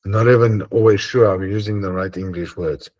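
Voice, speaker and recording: Deep-voiced Afrikaans-accented man. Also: fairly even intonation, normal speed, a little mellow, highs cut off. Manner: hesitant, many ums and uhs, earnest. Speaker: host of an online assembly. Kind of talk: casual closing chat on a video call. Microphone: video call.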